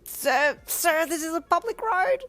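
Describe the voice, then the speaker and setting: A woman's voice: very high voice, very animated, a little slow, a bit bright, soft background music, voice pushed up, full character voice. A woman voicing a young heroine who gets easily flustered and exasperated. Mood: mortified.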